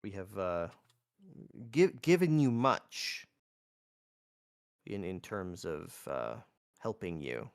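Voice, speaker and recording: A man as a probing, low-voiced elf mage. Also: very animated, slow pace, creaky, pauses often, smooth, no echo, clean studio sound, full character voice. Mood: hesitant. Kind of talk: tabletop roleplay dialogue.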